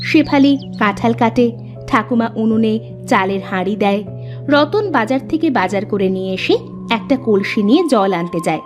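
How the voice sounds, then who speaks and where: A woman's voice: a little high, expressive, moderate pace, over music. A woman narrating a scripted cartoon story as its storyteller.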